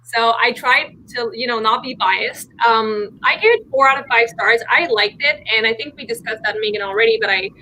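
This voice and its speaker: Female book vlogger. Highish, forward bright tone, keen and chatty, slightly noisy video-call audio. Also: moderate pace, few pauses. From Montreal, Quebec, Canada. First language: French. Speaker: book YouTuber, panel guest. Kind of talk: casual chat.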